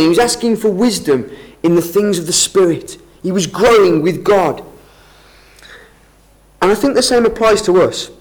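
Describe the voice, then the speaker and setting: A man's voice: on the high side, rough recording. A man giving a Bible talk to a congregation, earnest and explanatory, often restarting his sentences. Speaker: lay preacher. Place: meeting room with an audience.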